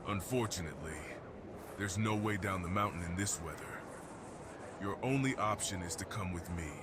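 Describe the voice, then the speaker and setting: Casting: male actor as gentle, lonely mountain guardian beast for ASMR fantasy roleplay; very low register, solemn and reassuring, some background ambience. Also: moderate pace, noisy background, close to an everyday voice. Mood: calm, concerned. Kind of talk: ASMR fantasy roleplay, slow intimate monologue.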